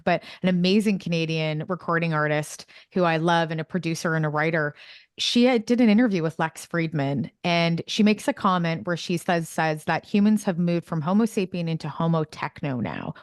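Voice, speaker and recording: A woman's voice: sits low, dry recording, clean studio sound. A woman hosting a podcast interview, talking in an earnest, conversational way. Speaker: podcast host.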